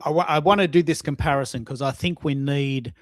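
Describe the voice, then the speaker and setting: Higher-pitched man hosting, dry close sound. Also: no echo. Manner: halting, repeats himself, talks to listeners. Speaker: host of a live online audio show. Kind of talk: casual live chat, thinking aloud while demonstrating.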